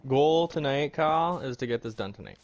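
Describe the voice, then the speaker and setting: A man's voice: mid-range voice, animated, fairly quick, highs cut off. A man chatting in an offhand, mumbling way with friends over a game voice call. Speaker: gaming friend. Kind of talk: casual chat on voice call.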